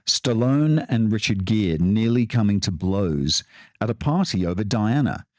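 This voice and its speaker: A male podcast host with a fairly low voice that swoops up and down as he tells his story. His delivery is theatrical and paced for effect. The sound is close and dry. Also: dry recording. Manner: animated, storytelling delivery with dramatic pauses. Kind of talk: scripted podcast monologue, then interviewing.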